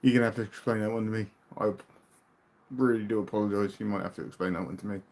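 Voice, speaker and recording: A British man from the UK hosting a casual livestream, chatting loosely and reading out viewers' questions, often trailing off into quieter asides. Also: lowish voice, steady tone, quick pace. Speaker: livestream host. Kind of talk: casual live chat reading viewer comments.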